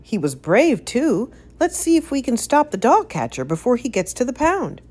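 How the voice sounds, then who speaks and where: A woman's voice: on the high side, sing-song, moderate pace, a little mellow, voice pushed up. A woman voicing a firm, kindly man of the house in a storybook, decisive and reassuring. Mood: approving.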